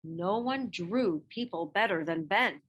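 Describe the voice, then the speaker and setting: A woman reading a children's picture book biography aloud in expressive narration, with measured phrasing and dramatic emphasis. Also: fairly low pitch, husky. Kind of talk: expressive scripted storybook narration.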